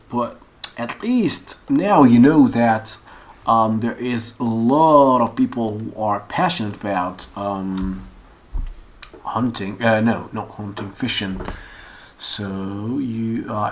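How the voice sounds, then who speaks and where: A man giving a casual, unscripted screen-share tutorial, rambling and thinking aloud as he searches. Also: fairly low pitch. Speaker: online course instructor. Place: at a computer. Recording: noisy room with keyboard and mouse clicks. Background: typing and mouse clicks.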